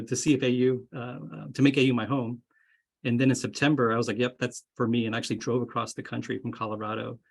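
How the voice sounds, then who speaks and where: A man's voice: mid-range voice, creaky. A man in his thirties, an associate dean, talking casually to incoming students over a video call, friendly and rambling with many fillers. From grew up moving around, largely Florida. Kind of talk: casual webinar talk. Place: home or office, indoors.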